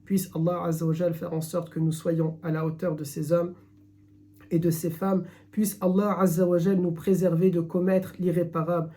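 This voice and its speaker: A man's voice: high-pitched, level tone, normal speed, mellow. A man giving an earnest religious lesson in French, emphatic and repeating himself as he searches for words. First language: French speaker, not Arabic. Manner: earnest, emphatic, many restarts. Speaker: Islamic teacher. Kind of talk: live-streamed religious lesson.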